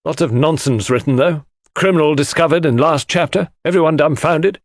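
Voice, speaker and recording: A man voicing a gruff, opinionated woman in an audiobook, speaking in blunt, clipped phrases. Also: fairly high pitch, moderate pace, no echo, clean studio sound, pitched above the performer's own voice. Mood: emphatic.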